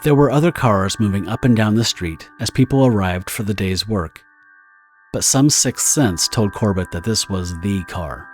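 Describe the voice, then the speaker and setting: A man narrating a scripted dramatic story in a measured, theatrical storytelling manner. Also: fairly low pitch, animated. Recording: music under the narration.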